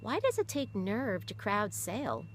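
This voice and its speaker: A woman's voice: mid-range voice, very animated, a little slow, a little hiss or hum, voice pushed up. A woman voicing an earnest young boy who asks questions and stammers when upset in a read-aloud story. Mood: curious.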